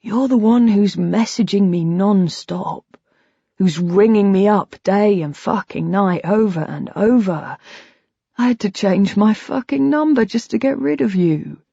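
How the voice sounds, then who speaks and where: A woman reading the lines of a threatening man from the narrator's past, low and sneering. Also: a little slow, gravelly, dark tone, slightly rough, dry recording. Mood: angry. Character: menacing man from her past, mocking. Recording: dull lo-fi sound.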